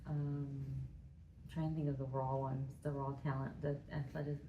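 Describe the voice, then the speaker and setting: Low-voiced playful woman. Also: level tone, moderate pace, highs cut off. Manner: playful, teasing. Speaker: podcast co-host. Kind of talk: casual sports chat. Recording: echoey room, faint noise.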